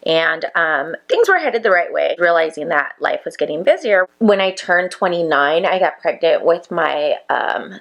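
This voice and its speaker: A woman's voice: on the low side, creaky, a bit bright. A woman in her thirties, a makeup business owner, chatting casually to camera and reflecting aloud, with plenty of "like" fillers. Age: 30s. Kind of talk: casual chat to camera while doing makeup.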